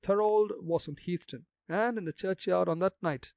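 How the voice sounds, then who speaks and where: A man voicing a confident gentleman who speaks with emphasis. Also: high voice, unhurried, creaky, dry recording, studio quality, pitched above the performer's own voice. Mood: emphatic. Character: confident young gentleman, triumphant.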